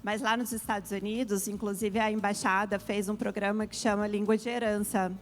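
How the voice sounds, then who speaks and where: Uma mulher brasileira de meia-idade, especialista em educação internacional, explicando com calma e muitos "né" numa entrevista. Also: female voice, mid-range voice, fairly quick. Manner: explains at length, frequent "né" tags. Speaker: international education specialist, guest. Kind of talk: explanatory interview talk.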